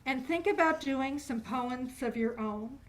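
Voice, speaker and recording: A woman's voice: sits high, a little slow, rough recording. A woman hosting an event, giving informal closing remarks in an enthusiastic, encouraging way. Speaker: event host.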